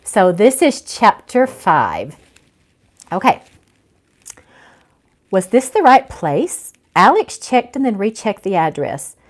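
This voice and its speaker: A woman's voice: mid-range voice, very animated, slow, pauses often. A woman with a mid-range voice hosts an informal online reading as an author. The delivery is that of someone sharing their own book with followers, part chat and part read-aloud.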